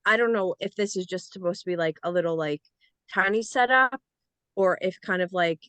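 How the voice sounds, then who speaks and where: A woman's voice: fairly low pitch, normal speed, fairly bright tone, smooth, dry recording. An American woman on a script reading panel, rambling casually and peppering her talk with "like". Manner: rambling, full of "like".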